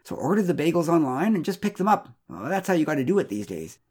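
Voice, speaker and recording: A man voicing an excitable, defensive expectant father explaining himself in a children's story. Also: medium pitch, fast talker, breathy edge. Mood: flustered protest.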